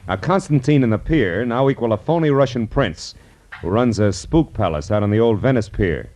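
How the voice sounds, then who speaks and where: An actor playing a tough, wry private detective in an American radio drama, with a clipped, slangy delivery and an American accent. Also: male voice, mid-range voice, expressive, moderate pace, a little mellow, lightly acted. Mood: brisk, businesslike. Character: tough, wry male private detective narrating his case. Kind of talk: old-time American radio drama acting and narration. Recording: vintage radio recording with music.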